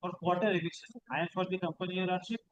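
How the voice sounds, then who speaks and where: A man with an Indian accent making a formal, deferential request to a judge as a lawyer, heard quietly on dull courtroom audio. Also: sits high, fairly even intonation, racing, dry recording.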